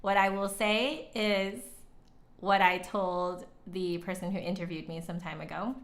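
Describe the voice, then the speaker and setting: A woman's voice: on the low side, steady tone, measured pace. A woman hosting a livestream, chatting casually with viewers in a giggly, rambling way full of asides. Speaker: livestream host, musician.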